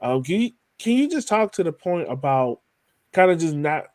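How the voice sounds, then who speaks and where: A man's voice: a little high, sing-song, moderate pace, a little mellow, no room sound. Male podcast interviewer, close dry sound. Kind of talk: casual interview questioning.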